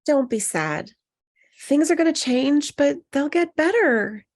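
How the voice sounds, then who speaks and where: High-pitched female actor as a loyal best friend, soothing and encouraging, in a screenplay read. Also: animated, takes it slowly, full character voice. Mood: reassuring. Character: Annie, loyal reassuring best friend of a nervous bride.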